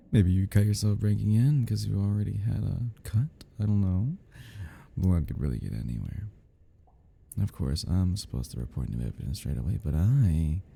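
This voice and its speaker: Male actor as obsessed yandere stalker, low gravelly voice, smug, teasing drawl, slipping into whispers, intimate audio roleplay. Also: mellow, full character voice. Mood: gloating, playful. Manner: teasing, drawling, breathy chuckles, drops into whispers.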